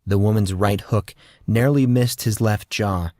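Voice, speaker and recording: A man's voice: fairly low pitch, normal speed, warm tone, no echo, studio quality. A man narrating scripted fiction as an audiobook storyteller.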